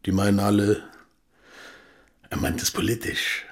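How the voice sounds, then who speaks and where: An actor playing a gruff, guarded older East German father in a radio drama, speaking in terse, halting, plain phrases. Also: fairly low pitch, completely flat delivery.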